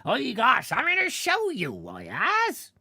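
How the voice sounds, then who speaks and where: A man voicing a hoarse fairground clown who barks commands like a drill sergeant. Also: very high-pitched, wildly swooping pitch, measured pace, no room sound, clean studio sound, voice pushed up, exaggerated voice. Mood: barking. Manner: barking, shouting drill-sergeant calls.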